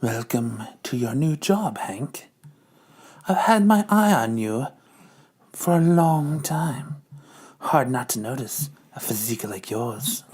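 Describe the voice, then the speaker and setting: Woman putting on a low, sultry villainess boss. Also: animated, a little mellow, slightly rough, exaggerated voice. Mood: sultry, flirtatious. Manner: slow, flirtatious, suggestive. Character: Madam Hydra, breathy seductive female villain boss.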